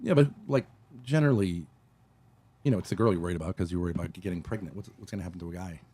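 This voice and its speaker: A man's voice: sits low, racing, mellow, husky. A man from Rhode Island in a casual voice chat, asking probing, leading questions and restarting his sentences often. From Rhode Island, United States. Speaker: father in a voice chat.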